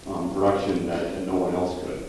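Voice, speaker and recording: A man asking a polite, measured question as a journalist at a press conference. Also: deep voice, completely flat delivery, far from the mic, crowd noise. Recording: echoey room, mic from afar.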